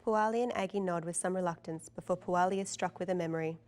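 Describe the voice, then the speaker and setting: A woman with a lowish voice delivers scripted narration. She reads out scene headings and action lines as the narrator of a dramatic table read. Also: steady tone, quick pace, a little mellow. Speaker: narrator reading stage directions.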